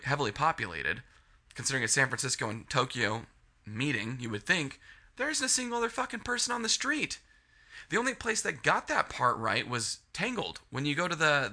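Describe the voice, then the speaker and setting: A man's voice: on the high side, sharp tone. A man reviewing a video game in a casual, rambling rant, sniffly from a cold and swearing freely. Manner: frustrated, rambling, heavy swearing.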